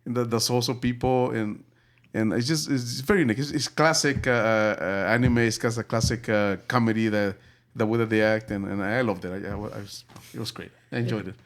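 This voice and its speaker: A man from El Salvador hosting a casual movie review podcast, talking in halting bursts full of fillers and restarts. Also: fairly low pitch, fairly even intonation. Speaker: podcast host.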